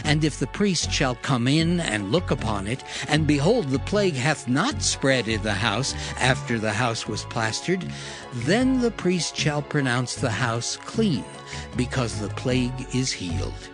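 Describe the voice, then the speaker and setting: Man reading scripture over music. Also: medium pitch. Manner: measured, steady cadence through lists. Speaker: narrator.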